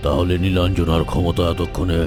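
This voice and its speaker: A man playing a menacing, vengeful villain of a dark fairy-tale kingdom in a cartoon, with a gravelly growl. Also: low voice, fairly even intonation, brisk, close to an everyday voice. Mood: brooding, menacing. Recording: loud background music.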